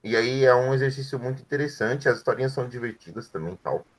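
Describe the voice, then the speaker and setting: A man's voice: mid-range voice, quick pace. A man teaching French to adult students, explaining words patiently and checking in with frequent tag questions. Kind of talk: explaining grammar in an online class.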